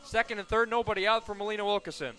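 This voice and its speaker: An American man calling live softball play-by-play as a radio announcer, conversational and ready to lift with the action. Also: high voice, very fast, faint music behind. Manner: conversational between plays, excited calls on action.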